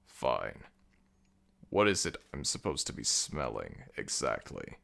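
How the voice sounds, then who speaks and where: A man's voice: a bass voice, big pitch swings, takes it slowly, vocal fry, a bit bright, voice pushed down, heavily acted. A man voicing an ancient, weary and grumbling jackal god of the dead in a scripted audio roleplay, with a dry, imperious manner. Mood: dry, irritated.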